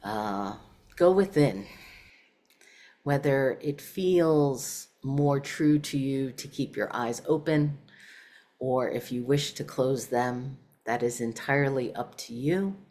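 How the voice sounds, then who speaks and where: A woman with an exceptionally low-pitched voice leads a guided meditation. She speaks slowly, setting out short phrases one at a time and leaving pauses between them. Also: dark tone. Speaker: meditation teacher.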